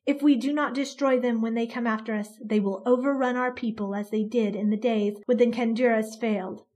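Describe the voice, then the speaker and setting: A fierce mother lays down the law in an iron voice, as a woman reads her. Her tone is high and forceful. She is proud and unbending. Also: a little slow. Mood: stern.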